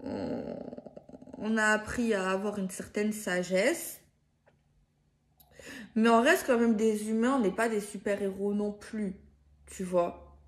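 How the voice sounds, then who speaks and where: Woman, card reader speaking casually to viewers. Unhurried, halting, repeats and rewords as she goes. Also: mid-range voice. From France. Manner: hesitant, circling, repeats and rephrases herself. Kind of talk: improvised spiritual guidance, casual chat to viewers.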